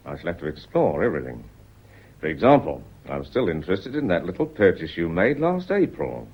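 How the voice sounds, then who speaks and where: An actor playing a courteous but relentless police inspector in a radio play, questioning in a deliberate, insistent way. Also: male voice, sits low, wildly swooping pitch, darkish tone, slightly rough, heavily acted. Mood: measured, probing.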